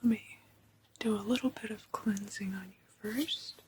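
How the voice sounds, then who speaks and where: A woman speaks in a hushed whisper as an ASMR host leading a guided meditation. Her delivery is slow and unhurried, with phrases gently repeated. Some background noise sits under the voice. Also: mid-range voice, fairly even intonation.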